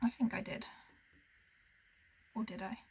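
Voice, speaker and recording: A soft-spoken woman chatting casually as she colours, musing to herself and often dropping into a whisper. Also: on the high side, fairly even intonation, unhurried, husky. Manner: talks to herself as she works. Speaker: colouring channel host. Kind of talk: casual narration while colouring.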